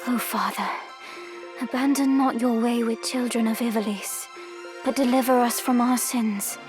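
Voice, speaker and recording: A woman's voice: on the high side, steady tone, moderate pace, natural voice. An actress playing a devout young princess in a fantasy game, solemn and prayerful, with music behind her. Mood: prayerful pleading. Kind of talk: dramatic fantasy game voice acting. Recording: game clip under loud music.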